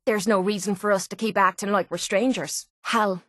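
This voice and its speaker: A woman's voice: mid-range voice, steady tone, normal speed, dry recording, studio quality, lightly acted. A woman playing a brash, foul-mouthed young Irish brawler in a video game, blunt and sardonic but vulnerable underneath, with an Irish accent. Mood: frank, earnest. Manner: blunt, gruff, sardonic, informal. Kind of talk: video game companion voice lines.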